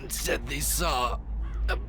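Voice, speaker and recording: A man acts a wounded soldier from a fantasy video game. He is weak and delirious, dragging out each phrase extremely slowly in pain, with clear noise around him. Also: medium pitch, natural voice. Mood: pained, fearful. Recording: loud noisy outdoor game ambience.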